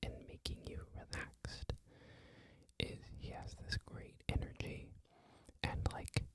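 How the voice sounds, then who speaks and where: An ASMR creator whispering a loose, rambling talk, full of "like" and "um" fillers. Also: slow. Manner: soft whisper throughout, frequent "like" and "um" fillers.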